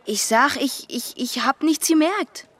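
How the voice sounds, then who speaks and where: An actress playing a shy, guarded young butcher's daughter in a radio drama, hesitant and naive. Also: female voice, high voice, animated, a little slow, fairly bright tone, no echo. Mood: hesitant, defensive. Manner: hesitant, terse, naive, colloquial. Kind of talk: naturalistic radio drama acting.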